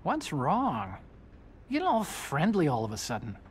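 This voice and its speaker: An actor playing an earnest, idealistic, nerdy robotics engineer in a video game, eager and slightly defensive. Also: male voice, a little high, huge pitch swings, a little slow, breathy edge, heavily acted. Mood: puzzled. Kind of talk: dramatic video game voice acting.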